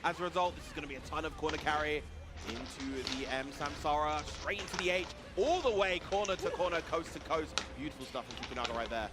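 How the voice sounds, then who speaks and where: A man's voice: on the high side, lively intonation, fast, background noise. A man giving analytical live commentary on a fighting game tournament as a co-commentator. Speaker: esports co-commentator. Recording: music behind the voice.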